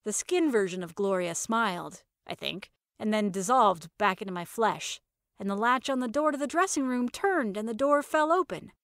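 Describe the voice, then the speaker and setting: A woman narrating a first-person story in an expressive, conversational manner. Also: medium pitch, moderate pace, no room sound, clean studio sound.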